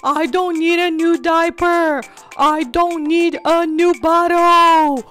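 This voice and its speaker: A woman voicing a bratty, excitable superhero baby boy in repetitive baby talk for children's toy role-play. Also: extremely high pitch, takes it slowly, faint music behind, exaggerated voice. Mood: defiant. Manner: whiny, taunting baby talk with repeated phrases.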